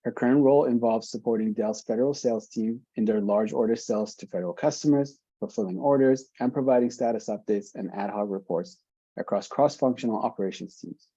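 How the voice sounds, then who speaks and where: A man reading a scripted introduction as moderator, steady and even. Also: mid-range voice, a little fast, slightly rough, no room sound, muffled recording.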